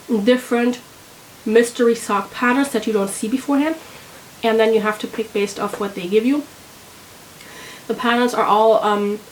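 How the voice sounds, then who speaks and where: A woman with a head cold talks about her knitting projects, and her voice sits a little high and sounds nasal and congested. She chats casually and loosely to her viewers, often pausing and searching for words. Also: a little hiss or hum, low-quality recording. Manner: rambling, hesitant, full of "um"s. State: congested, sick. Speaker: knitting vlogger. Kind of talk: casual chat to camera.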